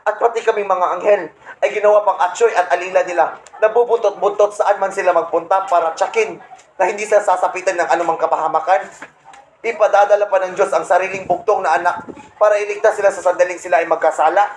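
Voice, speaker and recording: A man's voice: sits high, fairly even intonation, fast, lightly acted. A man playing a proud, resentful Satan who tells his schemes indignantly in a comedic radio play. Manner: boastful, indignant storytelling. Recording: roomy echo, some background noise.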